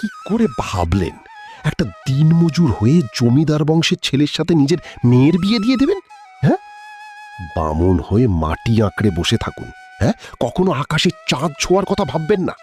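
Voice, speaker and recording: A man's voice: fairly high pitch, huge pitch swings, normal speed, darkish tone. A man playing an irritable, cruel heir of a landlord family in a cartoon drama, scornful and dismissive. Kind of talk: Bengali cartoon drama acting. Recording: music under the voice.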